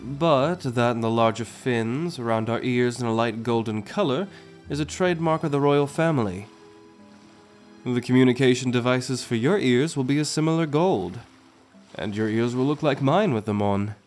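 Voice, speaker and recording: A man playing a confident, affectionate merman prince in a gentle, teasing, courtly manner for an ASMR roleplay. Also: medium pitch, moderate pace, natural voice. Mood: playful, fond. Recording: music under the voice.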